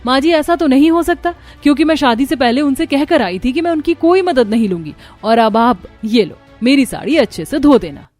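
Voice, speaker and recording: A woman voicing a young daughter-in-law in an animated family moral story, with a theatrical delivery. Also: high-pitched, a little fast, few pauses, soft background music. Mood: haughty, annoyed.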